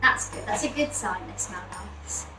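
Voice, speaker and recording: Female cooking presenter, high and lively, informal, echoey distant recording. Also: normal speed, music in the background. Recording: echoey room, distant microphone.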